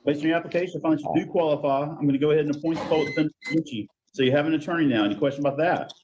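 Muffled, fast-talking male judge. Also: fairly high pitch. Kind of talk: brisk procedural court hearing. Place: courtroom over video link. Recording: muffled noisy court video link.

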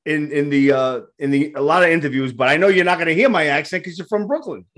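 A man's voice: on the high side, fast talker, fairly bright tone. A man in his fifties with a New York accent, hosting a casual video-call interview with loose, joking banter. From Queens, New York. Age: 50s. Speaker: host. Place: home studio full of rock memorabilia. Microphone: headset on a video call.

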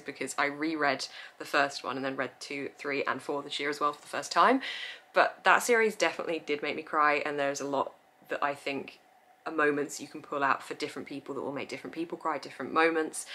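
A young woman talks to camera about her favourite books in a chatty, gushing way. Her voice sits a little low for a woman, and she keeps breaking into light laughs and quick asides. She is filmed indoors in front of shelves of books. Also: bright, forceful tone. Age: young adult. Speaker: book vlogger. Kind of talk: casual chat to camera.

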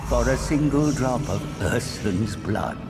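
A man playing a cunning old wizard in a game cinematic, grave, dramatic and deliberate. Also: medium pitch, animated. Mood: grave, conspiratorial. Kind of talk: video game cinematic narration and dialogue. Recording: loud music under the voice.

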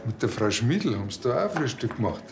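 Whiny Bavarian village cop. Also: male voice, mid-range voice, big pitch swings, brisk, noisy background. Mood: casual. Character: male Bavarian village policeman, whiny, self-absorbed, comic. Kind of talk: comedy crime series acting.

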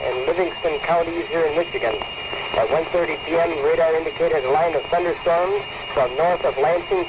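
A man's voice: on the high side, noisy background. An American male weather radio announcer reading a formal scripted warning bulletin on an old 1979 tape.

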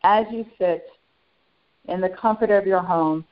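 A woman channeling a serene, benevolent spiritual master, guiding a meditation in slow, short phrases with long pauses. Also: on the low side, natural voice. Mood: serene, reverent. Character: serene, benevolent ascended master St. Germain addressing followers. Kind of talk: guided meditation. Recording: dull lo-fi sound.

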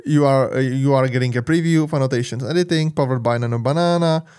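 A man with a Czech accent talks through a software tool on his channel, loose and rambling, full of "uh" and "et cetera." English is not his first language, and he speaks at an ordinary middle pitch into a big studio mic. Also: fast, clean tone. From Czech Republic. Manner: loose and rambling, many fillers and repeated "et cetera". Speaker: tech channel host. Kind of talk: screen-share software walkthrough. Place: home studio with purple lights. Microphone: large studio mic on arm.

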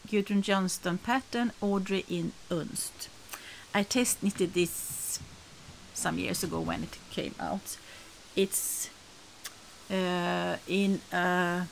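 A woman's voice: medium pitch, creaky, a little hiss or hum, rough recording. A middle-aged woman from Sweden with a Swedish accent chats casually to camera as a podcast host, often searching for words and rephrasing.

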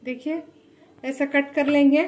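Woman in her thirties, high voice held in a narrow band, home kitchen with faint room noise behind. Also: fairly even intonation, measured pace, a little mellow. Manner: chatty, repeats "look" and blessings often. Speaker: cooking channel host. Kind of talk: home cooking tutorial.

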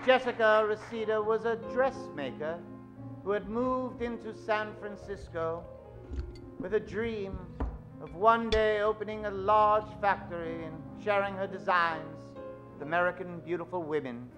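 A man in middle age narrating an old-time radio drama on stage, suave, with dramatic pauses. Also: high voice, over music. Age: middle-aged. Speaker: host and announcer of a radio show. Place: stage of a small theatre. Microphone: vintage-style stand microphone.

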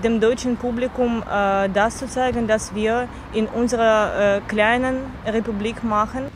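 A woman's voice: fairly high pitch, fairly even intonation, unhurried. Woman, traffic behind. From Karelia, Russia. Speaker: cultural manager fellow. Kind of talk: interview answers.

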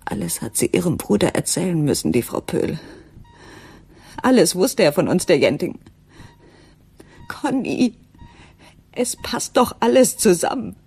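Anguished wife, very breathy. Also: female voice, on the low side, big pitch swings, a little mellow, exaggerated voice. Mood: fearful, urgent. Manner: breathy, halting, dropping into whispers. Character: anguished, frightened wife and mother addressing her husband. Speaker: actress in a crime radio play. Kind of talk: intimate radio drama monologue.

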